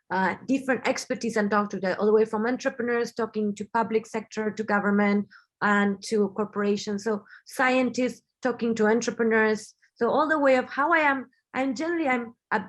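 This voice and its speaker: A woman in her thirties from Argentina, giving an earnest online talk over a video call, often restarting her phrases and filling pauses. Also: fairly high pitch. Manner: earnest, halting, many restarts and fillers. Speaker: webinar speaker. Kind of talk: online presentation.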